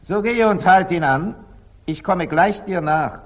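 An actor playing a lively, wise wealthy merchant in a theatrical 1940s German radio drama. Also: male voice, high voice, unhurried. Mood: amused, eager.

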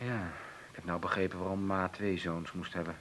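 An actor playing a sardonic, resentful grown brother in a radio drama, sarcastic and cutting in manner. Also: male voice, low-pitched, little pitch movement, a little fast, fairly bright tone. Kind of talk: naturalistic radio drama dialogue. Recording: faint tape hiss.

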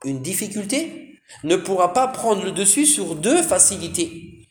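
High-voiced man teaching in an echoey room. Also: very animated, measured pace. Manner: addresses listeners as brothers, asks and answers own questions; frequent "hein" fillers, mixes Arabic terms into French. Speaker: Islamic teacher. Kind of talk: lesson explaining Quran commentary.

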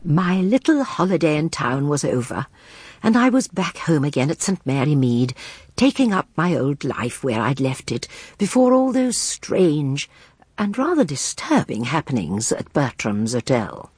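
An actress playing a gentle, thoughtful elderly English spinster sleuth, narrating a radio drama in a measured, slightly husky voice. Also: female voice, sits low, sing-song, no echo, exaggerated voice. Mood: reflective. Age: older. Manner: measured, reflective storytelling.

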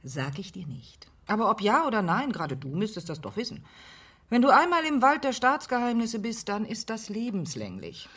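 A woman's voice: mid-range voice, big pitch swings, moderate pace, a bit bright, slightly rough. An actress playing a brash, flirtatious woman of fifty in a radio drama, world-weary, teasing and sardonic. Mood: blunt, teasing. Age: middle-aged.

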